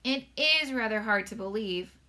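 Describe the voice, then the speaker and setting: Curious, bright-voiced young girl played by a woman. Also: fairly high pitch, expressive, normal speed. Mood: wondering, doubtful. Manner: questioning, gently insistent. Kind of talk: storybook read-aloud character voice.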